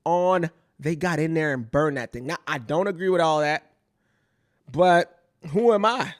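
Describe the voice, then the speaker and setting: A woman's voice: low-pitched, a little slow, no room sound, clean studio sound. A woman from Kenya hosting a talk show, giving casual, emphatic opinion commentary and repeating phrases to drive points home. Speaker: show host.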